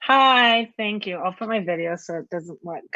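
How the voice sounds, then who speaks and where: A woman's voice: lowish voice, moderate pace, sharp tone, muffled recording, a little hiss or hum. A woman from Canada, polite and earnest, sharing her experience in a discussion over a video call. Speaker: discussion participant.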